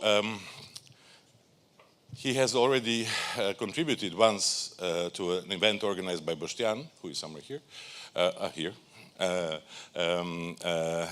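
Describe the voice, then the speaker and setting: A man as moderator giving a halting introduction of a guest speaker at a symposium. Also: sits low, a bit bright. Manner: halting, searching for words.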